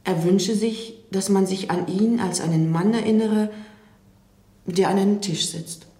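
A thoughtful woman in a radio drama, acted by a woman, recalling someone in a measured, reflective way. Her voice is mellow and relaxed at a middle pitch, with audible room echo around it. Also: lightly acted. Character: thoughtful woman recalling an eccentric, difficult man. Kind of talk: radio drama played as an interview.

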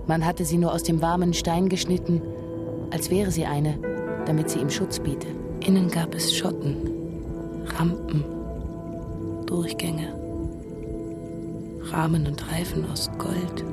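A woman narrating a literary science-fiction radio drama in a measured, precise manner. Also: on the low side, close to an everyday voice. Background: music.

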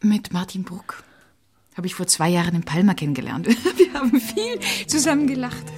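Actress as a carefree, lively teasing woman. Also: medium pitch, moderate pace, natural voice. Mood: breezy. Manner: playful, coaxing, mocking. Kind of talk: literary radio drama acting. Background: music.

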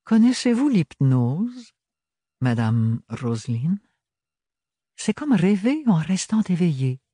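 A man's voice: on the high side, lively intonation, a little slow, warm tone, dry recording, voice pushed up. A man voicing a tired, elegant noblewoman who speaks in a honeyed, mocking way. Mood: languid. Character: weary elegant noblewoman, sly and languid.

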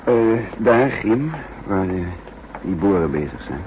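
A man playing a thoughtful double agent in measured, reflective speech for an old radio play. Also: deep voice, big pitch swings, a little mellow, a little hiss or hum, low-quality recording. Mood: casual, assured. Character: thoughtful, troubled male double agent narrating his story. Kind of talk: 1960s Dutch radio play acting and narration.

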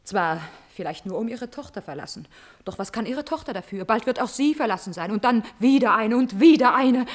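Scornful, high-voiced betrayed countess. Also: female voice, lively intonation. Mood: bitter, vengeful. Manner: theatrical, emphatic, biting irony with drawn-out repetitions. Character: scorned countess, bitter, mocking, passionately vengeful. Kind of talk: classical German stage tragedy as radio drama.

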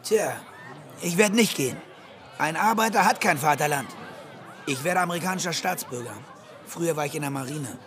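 Man acting high-voiced, amused barman. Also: normal speed, pauses often, a bit bright, some chatter behind, natural voice. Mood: amused, worldly. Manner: chuckling, laconic, amused. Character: easygoing immigrant barman, amused and worldly ex-sailor. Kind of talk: radio drama acting. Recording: music behind the voice.

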